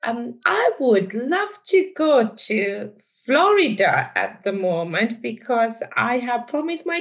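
A woman's voice: fairly high pitch, low-quality recording. Sri Lankan-accented woman executive guest. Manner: thoughtful, frank, with hesitant fillers. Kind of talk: podcast interview.

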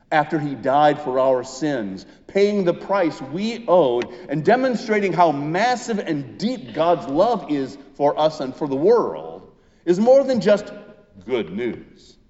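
A man preaching a sermon as a pastor, speaking in measured, emphatic phrases with deliberate pauses. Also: on the high side, very animated, darkish tone. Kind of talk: Easter sermon, reading and expounding scripture. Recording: muffled, reverberant church hall sound.

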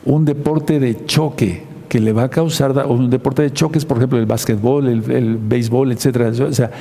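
A man preaching a sermon, teaching slowly and repeating his key phrases for emphasis. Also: mid-range voice, steady tone, gravelly, mellow, in a large hall.

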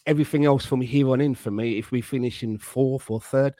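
A man on a football fan panel, speaking in a measured, careful way and restarting as he thinks. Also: medium pitch, steady tone, warm tone, dry recording. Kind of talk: casual football fan chat.

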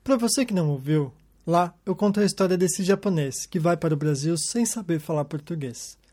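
A man hosting a podcast, telling stories in a calm scripted narration. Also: fairly high pitch, fairly quick. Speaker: podcast host. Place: lives in Japan.

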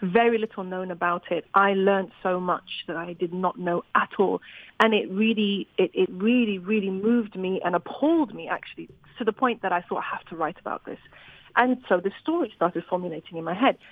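A woman author from Zimbabwe speaks at a middle pitch, lively and quick to restart her sentences. Her voice comes through a narrow, phone-like line. The sound is dry, with no room around it. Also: dry recording. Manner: animated, with frequent restarts. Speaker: novelist guest. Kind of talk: interview conversation.

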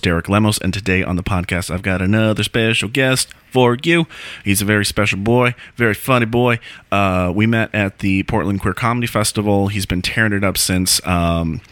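Casual low-voiced man hosting a podcast. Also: fairly bright tone, no room sound. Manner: casual, chatty, lots of "like" fillers. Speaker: podcast host. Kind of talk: podcast interview.

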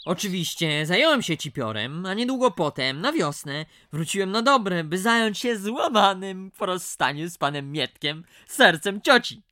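A woman playing a bright, excitable, flustered bachelor nephew. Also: lowish voice, moderate pace, hardly any pauses, no echo, clean studio sound. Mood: aggrieved. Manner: stammering, whiny, excitable. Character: flustered, indignant thirty-year-old bachelor nephew. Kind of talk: radio comedy drama acting.

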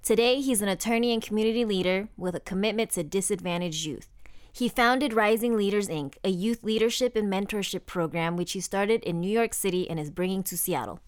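A woman co-hosting a podcast, reading the guest introduction in a brisk, informative way. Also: mid-range voice, smooth, no echo, clean studio sound. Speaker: podcast co-host.

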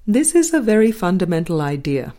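A woman reading a spiritual book aloud as scripted narration, in measured, deliberate phrases with pauses. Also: on the low side, big pitch swings, dark tone. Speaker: audiobook reader.

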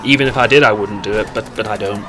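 A woman's voice: rumbling low, quick pace, noisy background. A woman playing a sardonic, cheeky teenage schoolgirl in an audio drama.

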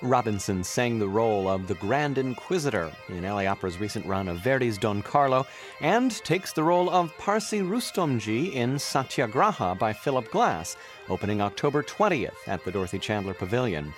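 A male podcast host reading a scripted introduction in a measured announcer style. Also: mid-range voice, big pitch swings. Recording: music bed under voice.

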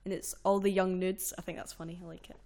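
A young woman with a fairly low voice, chatting casually and rambling with lots of fillers as a podcast co-host. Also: flat delivery, fast talker, mellow. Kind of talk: casual chat.

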